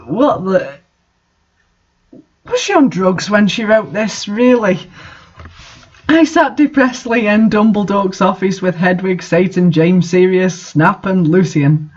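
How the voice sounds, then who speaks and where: A man with a notably high voice hosts a reading of bad fiction. He delivers it theatrically and comments with exasperated, mocking disbelief. The recording is dull and lo-fi. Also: lively intonation, takes it slowly, pauses often, breathy edge. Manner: mocking, exasperated, sweary asides. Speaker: host reading bad fan fiction aloud. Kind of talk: dramatic reading with amused, incredulous commentary.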